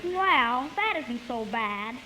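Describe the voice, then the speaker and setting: A man playing a vain, exasperated, tightfisted boss in a 1950s radio comedy, with drawn-out delivery. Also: extremely high pitch, sing-song, full character voice. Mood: probing. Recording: hissy 1950s radio broadcast recording.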